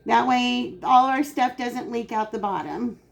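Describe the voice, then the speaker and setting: A woman hosting a home cooking video, her voice dry and raspy today, chatting casually as she explains each step. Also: a little high, a little slow, lo-fi sound. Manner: chatty, explains steps while working. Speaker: cooking channel host. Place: home kitchen.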